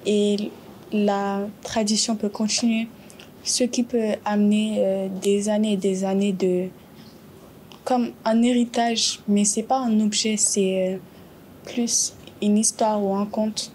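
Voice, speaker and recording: A young woman answering in a classroom book discussion, hesitant and pausing often on filler sounds. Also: mid-range voice, flat delivery, mellow, a little hiss or hum.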